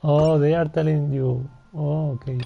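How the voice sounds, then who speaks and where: A man giving casual, halting gameplay commentary and reading on-screen text aloud. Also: on the high side, flat delivery, gravelly, dull audio, faint music behind. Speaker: video game streamer.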